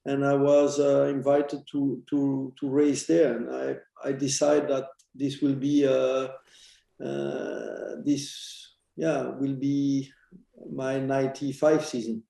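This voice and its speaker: Middle-aged Belgian male interviewee, very soft dark tone, halting delivery, roomy echoing sound. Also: medium pitch, little pitch movement, vocal fry. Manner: halting, often restarting phrases. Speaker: interview guest, former racing driver and team boss. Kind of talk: reflective interview answers. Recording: roomy echo.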